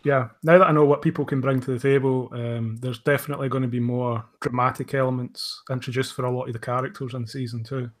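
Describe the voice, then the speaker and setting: A man's voice: medium pitch, level tone, fast, hardly any pauses. A man speaking casually as an audio drama creator answering questions about his show. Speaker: audio drama writer and director.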